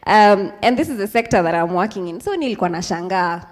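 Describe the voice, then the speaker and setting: A woman's voice: medium pitch, expressive, quick pace. Chatty young Kenyan woman in a reverberant hall. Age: 20s. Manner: chatty, mixes in Swahili phrases, frequent ums. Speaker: speaker at a youth event. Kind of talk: informal talk with slides to a live audience.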